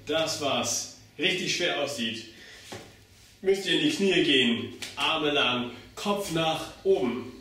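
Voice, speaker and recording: Young adult man, slow-paced instructor, slightly high voice, step-by-step demonstration, very reverberant room. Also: lively intonation, bright, forceful tone, slight background noise. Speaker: circus pantomime instructor. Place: bare indoor room with hard walls. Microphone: camera mic across the room. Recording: very echoey room, far from mic.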